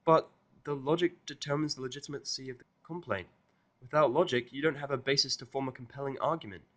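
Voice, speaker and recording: A studious young mare unicorn played by a man speaks in a raised pitch. She is earnest and easily flustered, choosing formal, pedantic words in a fan-made cartoon audio story. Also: fairly even intonation, fast, a bit bright, close to an everyday voice. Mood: indignant.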